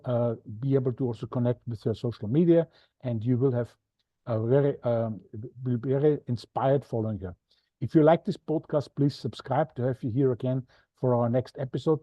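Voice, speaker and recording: A man's voice: mid-range voice, no echo. A man with an Austrian accent hosting an interview podcast, chatty and a little self-deprecating as he signs off. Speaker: podcast host. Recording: dull, dry lo-fi sound.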